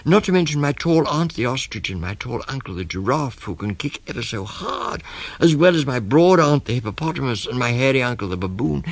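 A man's voice: medium pitch, big pitch swings, a little fast, dry recording, pitched above the performer's own voice. A man voicing a polite, innocent young elephant in a storybook, earnest and breathless. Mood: polite, anxious. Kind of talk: storybook character voice.

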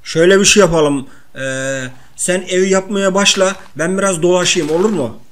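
A man acts out a clumsy comic everyman in a video game role-play. He is high-pitched, slangy and given to whining complaints. The delivery is playful and improvised. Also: moderate pace, a bit bright. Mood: easygoing, slangy.

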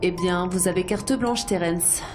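A woman's voice: on the low side, a little slow, music in the background, natural voice. A woman playing an authoritative rebel commander in an audio drama, formal and firm. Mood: commanding.

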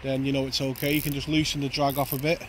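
Man, 30s, even monotone riverside fishing commentary, light outdoor noise. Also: mid-range voice, quick pace. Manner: plain, steady running commentary. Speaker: angling vlogger.

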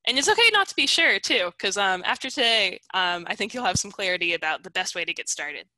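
A bubbly woman in her thirties runs a webinar over a headset mic, and her voice sounds bright and clear. The sound is dry and close, with no room around it. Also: mid-range voice, lively intonation, fairly quick, no echo, poor audio. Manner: bubbly and encouraging. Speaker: webinar host. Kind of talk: upbeat webinar moderation. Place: home video call.

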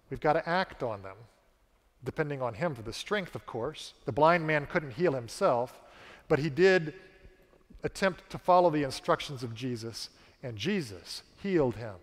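A man in his forties preaching a sermon on a large church stage into a headset mic, slow and emphatic with dramatic pauses. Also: a little high, a bit bright. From American South. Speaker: pastor. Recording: long reverb of a church hall.

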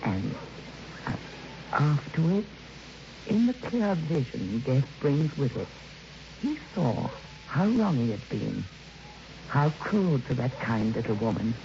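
A kindly middle-aged woman in an old radio play. Her voice is very low and mellow, moving expressively as she earnestly counsels. The sound is muffled, with faint noise. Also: sing-song, slow pace, pauses often, exaggerated voice. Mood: earnest, insistent. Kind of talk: old radio drama acting.

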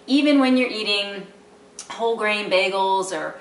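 A woman's voice: medium pitch, unhurried. Middle-aged woman, home cooking host, chatty how-to delivery, picked up from across an echoey kitchen. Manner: chatty, instructive, fillers and asides. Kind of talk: casual cooking demonstration. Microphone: camera mic from across the room. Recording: roomy, distant camera audio with light background noise.

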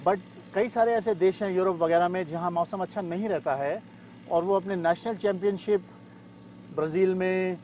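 A middle-aged man gives interview answers in a fairly high voice. He mixes English terms into his speech, recorded in an open field with clear outdoor noise around him. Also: steady tone, moderate pace, a little mellow. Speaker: paragliding pilot and event weather expert, interview guest. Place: open grassy field in the mountains.

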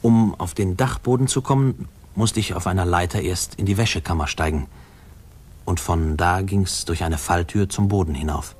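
An actor playing a man who recalls his fearful boyhood, narrating a 1950s German radio play in a hushed, halting, husky voice. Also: low voice. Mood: reflective, uneasy.

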